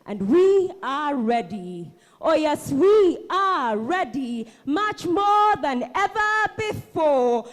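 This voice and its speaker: A woman performing spoken word on stage, declaiming with passion, dramatic pauses and repetition. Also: high-pitched.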